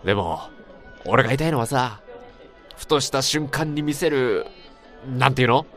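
A man acting in a radio drama as an excitable, sentimental old friend, chatting in an emphatic, colloquial way. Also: mid-range voice, normal speed, faint music behind, lightly acted. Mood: wistful, excited. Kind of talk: radio drama dialogue, casual banter.

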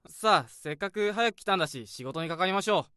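A friendly young office junior in a radio drama, polite but easygoing. His voice is slightly high and lively in pitch. The sound is dry and close. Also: fairly quick, clear, resonant tone, no room sound. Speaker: junior coworker.

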